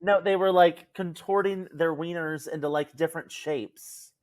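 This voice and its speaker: Man, medium-high voice for a male, casual podcast storytelling, informal, very dry recording. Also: fairly even intonation, normal speed, dry recording. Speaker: podcast co-host.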